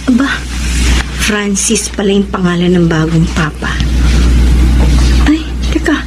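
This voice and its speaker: A woman's voice: mid-range voice, expressive, unhurried, music in the background, natural voice. An actress playing an emotional, guilt-ridden young mother working abroad in a melodramatic radio drama, with a Filipino accent. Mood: excited, hurried. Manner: melodramatic, tearful.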